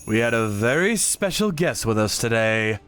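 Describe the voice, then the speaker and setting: A man's voice: mid-range voice, big pitch swings, moderate pace, fairly bright tone, clear, resonant tone, faint music behind. A man narrating a dramatic story in the first person with theatrical delivery. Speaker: narrator of a manga channel story.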